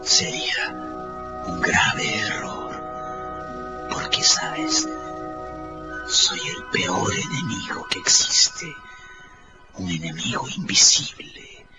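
An actor playing a menacing, megalomaniac rogue scientist in a radio drama, with a slow, whispery, theatrical delivery. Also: male voice, low voice, wildly swooping pitch, heavily acted. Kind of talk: Spanish-language radio drama acting. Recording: loud music over the voice.

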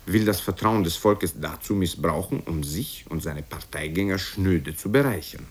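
A man's voice: sits low, normal speed, breathy edge. A man voicing a pompous politician who declaims self-righteous accusations with emphasis in a satirical radio story. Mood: accusing, righteous. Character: pompous male politician hurling accusations at his rival.

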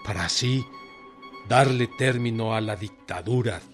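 A man playing a resolute revolutionary leader who dictates orders in a formal, deliberate, authoritative way in a radio drama. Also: lowish voice, natural voice. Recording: radio play with music underneath.

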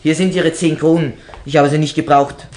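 A man's voice: fairly high pitch, steady tone, normal speed, a little mellow, lightly acted. An actor in a German radio drama playing an eager, proud boy detective's helper who speaks in precise, clipped reports. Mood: businesslike, proud.

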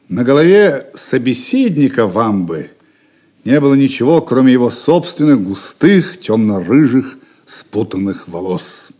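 A male storyteller narrates an audio drama from a script. His mid-pitched voice moves with lively, varied intonation.

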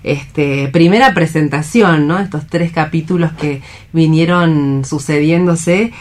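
A woman hosting a radio drama programme, commenting on a play in an unhurried, conversational way. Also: sits low, darkish tone.

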